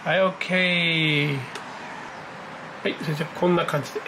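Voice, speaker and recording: A man giving casual, excited live commentary as a hobby vlogger, reacting aloud in exclamations as he goes. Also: on the high side, normal speed, background noise. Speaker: amateur radio operator hosting his own video. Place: indoors at a desk, filming a computer screen.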